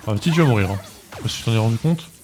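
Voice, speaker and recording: A man's voice: mid-range voice, lively intonation, very fast. A man with a French accent giving casual, chatty commentary while playing a game, often talking back to it in mock exasperation. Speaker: gaming streamer. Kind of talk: casual let's-play commentary. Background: game music.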